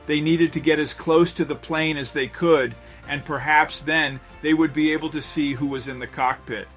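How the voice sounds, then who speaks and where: A man with a slightly high voice reads a scripted story as a dramatic narrator. His delivery is measured and builds suspense, with faint music playing underneath. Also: steady tone, fairly bright tone. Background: background music.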